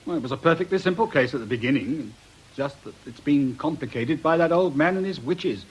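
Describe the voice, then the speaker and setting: An actor playing an affable, dry middle-aged police inspector in a conversational radio drama style. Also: male voice, on the high side, lively intonation, a little fast, slightly rough, low-quality recording. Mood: probing, rueful.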